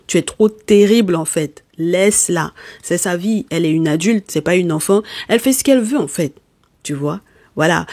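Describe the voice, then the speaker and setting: Tarot reader, non-native speaker, emphatic and scolding, medium pitch, dry close sound. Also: darkish tone, no room sound. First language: not French. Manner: emphatic and scolding, often checks in with "okay?"; speaks directly to listeners with terms of endearment. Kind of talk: spoken tarot reading addressed to viewers.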